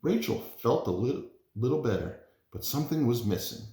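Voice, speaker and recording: A man's voice: mid-range voice, expressive, a little fast, mellow. A man around his thirties or forties, a parent volunteer introducing and reading a children's picture book aloud. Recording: roomy, echoey indoor sound.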